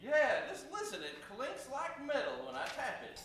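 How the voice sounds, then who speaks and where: A man's voice: very high voice, huge pitch swings, normal speed, creaky, a bit bright, heavy reverb, recorded from a distance, full character voice. A man playing a humble, muddy field worker in a parody radio commercial, with a folksy, apologetic manner. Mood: amazed, delighted.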